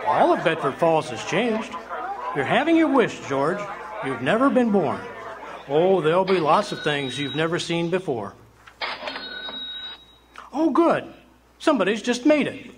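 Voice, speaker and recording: A man plays an ancient angel with a childlike, earnest temper in a radio play. The voice is high and swings freely up and down, over faint room noise from a live performance. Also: big pitch swings, measured pace, long pauses. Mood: cheery, innocent. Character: gentle, childlike, nearly 300-year-old male angel, earnest and cheery. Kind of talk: live radio drama acting.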